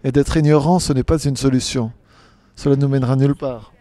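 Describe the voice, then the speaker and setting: A man interpreting a panel speaker simultaneously, talking in halting phrases with frequent restarts and self-corrections. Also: medium pitch, fairly even intonation, warm tone, dry recording. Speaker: conference interpreter.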